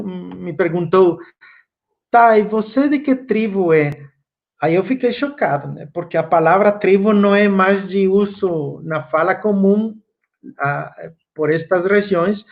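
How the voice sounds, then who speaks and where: A man from Guatemala with a Guatemalan Spanish accent, a native Spanish speaker giving an online course lecture, slow and reflective with frequent fillers. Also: high voice, gravelly, phone-quality sound.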